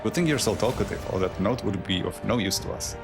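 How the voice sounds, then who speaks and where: A man's voice: sits low, lively intonation, fast talker, gravelly, over music. A man with a Czech accent that leans German, talking through a game live as a streamer and rambling as he thinks aloud. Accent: Czech, with a German tinge. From Czech Republic. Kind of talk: live gameplay commentary.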